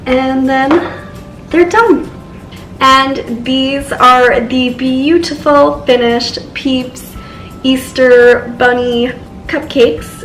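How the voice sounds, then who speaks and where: A young woman with a stuffy, congested voice, chatting casually through a baking tutorial as the channel's host. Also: on the high side. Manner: chatty, lots of "so" and "just". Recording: roomy, from a distance, faint music.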